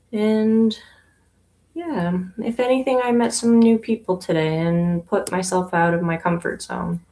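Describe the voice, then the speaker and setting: A young adult woman from Canada, a yarn shop owner, chatting casually on a livestream while she knits, relaxed and unhurried, with plenty of ums and pauses. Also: on the low side. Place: inside her yarn shop.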